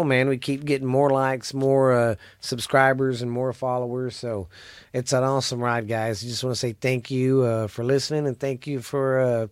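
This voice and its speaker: Stuffy laid-back Texan podcast host. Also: male voice, mid-range voice, no room sound, studio quality. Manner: laid-back, rambling, swears freely. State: stuffy, congested. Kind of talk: casual solo podcast chat.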